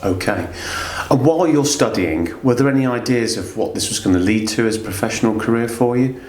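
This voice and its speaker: Male interviewer, average pitch, conversational hosting, recorded with noticeable room echo. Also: fairly quick, darkish tone, a little hiss or hum. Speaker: podcast host, interviewer. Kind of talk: interview questions and guest introduction. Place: indoor room at a company. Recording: roomy echo.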